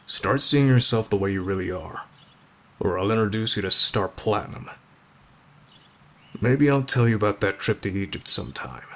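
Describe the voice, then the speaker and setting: A man voicing a gruff, stoic teenage tough guy with a soft spot, terse and reassuring, in an anime roleplay. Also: on the low side, animated, moderate pace, long pauses. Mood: gruff reassurance. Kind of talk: anime fan audio roleplay.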